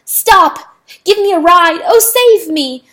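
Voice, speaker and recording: A woman's voice: extremely high pitch, lively intonation, slow, fairly bright tone, voice pushed up, heavily acted. A woman voicing a proud, desperate male rooster who screams and pleads. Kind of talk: audiobook character voice.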